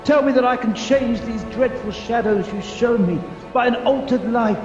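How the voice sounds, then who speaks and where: An elderly miser in an old-style radio play. His voice is high for a man and drawn out very slowly, full of stammers and repeated pleas. It rings through a long, cavernous reverb. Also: animated, music in the background. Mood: desperate, begging. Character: elderly miser, terrified and pleading, desperate to repent. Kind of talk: 1930s-style radio drama acting. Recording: muffled recording with long echoing reverb.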